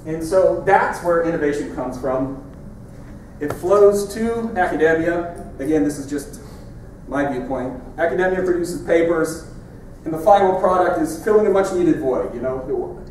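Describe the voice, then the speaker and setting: A man's voice: fairly high pitch, measured pace, darkish tone, slight background noise. A middle-aged man giving a conference keynote in a large lecture hall, in a conversational, wry way as he points at his slides. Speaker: keynote speaker. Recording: echoey hall from far off.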